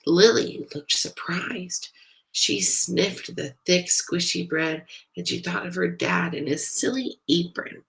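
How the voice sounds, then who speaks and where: A woman reading a picture book aloud to young children in an expressive, deliberate way, as a storyteller. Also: fairly low pitch, creaky, poor audio. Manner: animated, deliberate, pauses to ask listeners questions.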